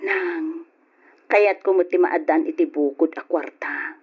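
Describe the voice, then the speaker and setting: A woman's voice: lowish voice, big pitch swings, a little slow, husky, exaggerated voice. A woman playing a pleading, determined young Filipino wife. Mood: earnest, resolved. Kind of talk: old-fashioned Ilocano radio drama acting. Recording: dull lo-fi old radio drama.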